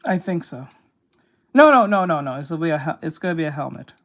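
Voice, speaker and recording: A woman artist chatting casually while she draws, reacting with quick exclamations and plenty of swearing. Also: sits low, big pitch swings. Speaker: artist streaming while drawing. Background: music.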